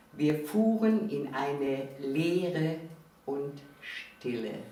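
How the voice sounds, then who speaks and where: A woman from Cologne hosts a talk show with slow, deliberate, emphatic speech. Her voice sits at a middling pitch. It rings heavily around the room, picked up at some distance from the microphone. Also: heavy reverb. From Cologne, Germany. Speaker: host of a local talk show. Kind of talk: spoken introduction and interview chat.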